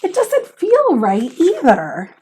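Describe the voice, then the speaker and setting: A woman teacher reading a children's picture book aloud in a theatrical, expressive way with emphasis on key words. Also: sits high, takes it slowly.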